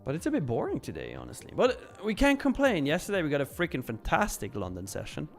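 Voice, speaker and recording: A man's voice: on the high side, wildly swooping pitch, quick pace, vocal fry, soft background music. A man from Switzerland hosting a casual, frank livestream, answering viewers and commenting on trades in an informal way with repeated phrases. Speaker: live trading stream host.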